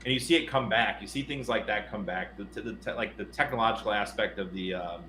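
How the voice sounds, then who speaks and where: Bright-voiced man in an echoey room. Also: lowish voice, a little fast. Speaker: podcast co-host. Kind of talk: casual podcast discussion. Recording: roomy echo, faint noise.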